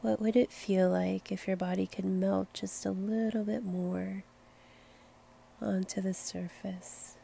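A woman leading a guided meditation in a gentle, soothing, unhurried manner. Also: mid-range voice, little pitch movement, creaky, a little hiss or hum. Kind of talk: slow guided meditation narration.

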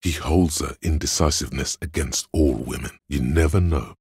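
A man voicing the cold, dry inner logic of a detective in a video game, measured and analytical, with a gravelly, husky delivery. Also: rumbling low, darkish tone, dry recording, full character voice. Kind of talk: scripted video game voice acting, second-person narration.

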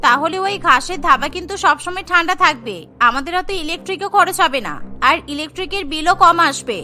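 Woman playing very high, plaintive young village wife. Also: bright, forceful tone. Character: whiny, clever young village sister-in-law. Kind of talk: Bengali cartoon dialogue.

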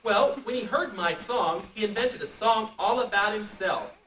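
A woman playing a chatty, absent-minded scarecrow in a puppet show, excitable and exclaiming. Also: medium pitch, normal speed, a little hiss or hum, close to an everyday voice. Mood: proud, chatty. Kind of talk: amateur puppet-show acting. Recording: distant echoey home video.

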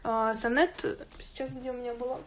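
A woman's voice: a little high, little pitch movement, normal speed, a little hiss or hum. A woman hosting an informal drawing tutorial, chatting and thinking aloud as she works. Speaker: art tutorial host. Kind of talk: informal drawing tutorial, explaining while working. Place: indoors at a drawing table.

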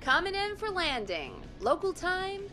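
An actress playing a perky, teasing radio producer in a video game, playful and bubbly. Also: female voice, very high voice, very animated, a little slow, over music, heavily acted. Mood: upbeat, instructing. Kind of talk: game voice acting.

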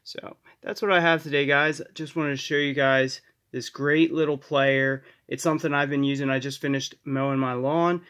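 A man's voice: mid-range voice, a bit bright. A man hosting a casual hands-on tech tips video, talking in an upbeat, recommending way and repeating his points. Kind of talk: casual hands-on app demonstration. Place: indoors at a desk.

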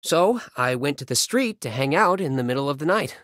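Young male singer, playful, affectionate, boyish, animated intonation, romance game phone-call dialogue, dry recording. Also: fairly high pitch, fairly quick, no echo. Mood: animated storytelling. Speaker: voice actor in a mobile romance game.

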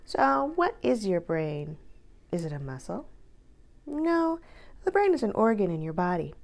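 A woman reading a children's book aloud in an expressive, deliberate way, drawing out key words for emphasis. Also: mid-range voice, vocal fry.

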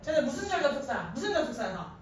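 Woman teacher, very high voice, heavily echoing classroom, distant mic, informal, question-driven lesson delivery. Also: racing. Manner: animated, quizzing, informal, often prompting with questions. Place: indoor classroom at a chalkboard. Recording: echoey classroom from a distance, faint noise.

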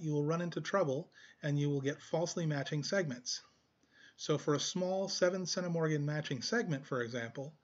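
A man presents a tutorial in a voice pitched a little high for a male speaker. He explains things step by step in a measured, instructive manner. The recording is somewhat dull and lo-fi. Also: fairly bright tone.

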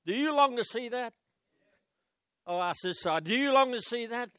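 A man's voice: very high-pitched, lively intonation, normal speed, clear, resonant tone, no echo, clean studio sound. An older man with an Australian accent, preaching a sermon on a church stage into a handheld mic, telling stories and calling out to the congregation. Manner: storytelling preacher, rising to loud exclamations and calling out to the congregation. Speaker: pastor.

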